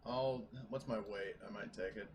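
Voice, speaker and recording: A man's voice: fairly low pitch, moderate pace, crowd noise. A man chatting casually as a player in a tabletop roleplaying game, working through rules and stats. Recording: clear background noise.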